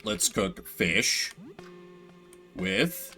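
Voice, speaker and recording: A man's voice: sits low, very animated, drawn-out words, bright, forceful tone, faint music behind. A man giving playful, theatrical commentary as he streams a game, reading its text aloud.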